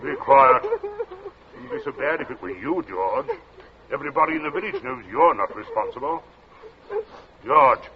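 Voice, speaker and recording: Domineering English father, wildly swinging pitch. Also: wildly swooping pitch, normal speed, long pauses. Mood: scornful, bossy. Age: middle-aged. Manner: commanding, scornful, clipped outbursts. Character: domineering, snobbish middle-aged English father, panicked about disgrace. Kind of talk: 1940s radio suspense acting. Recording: dull old radio recording, faint noise.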